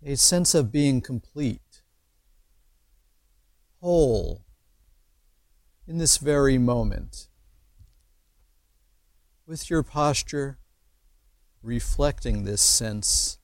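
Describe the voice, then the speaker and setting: Very slow-paced man guiding meditation. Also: medium pitch, animated, no room sound. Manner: measured, pausing between short phrases. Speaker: meditation teacher. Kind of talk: slow guided imagery meditation narration.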